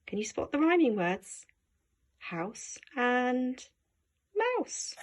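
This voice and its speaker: A woman reads a picture book like a teacher with a young class. Her voice is fairly high and very melodic, with dramatic storytelling delivery. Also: big pitch swings, drawn-out words. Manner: dramatic, engaging young listeners with questions. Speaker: head teacher reading aloud. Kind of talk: expressive children's story reading.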